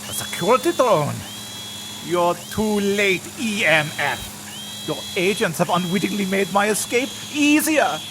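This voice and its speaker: Scheming German villain. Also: male voice, high-pitched, expressive, a little slow, background noise. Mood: scheming, gloating. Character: scheming German eco-terrorist villain, mercenary and menacing. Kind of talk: comedic audio drama acting. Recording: music behind the voice.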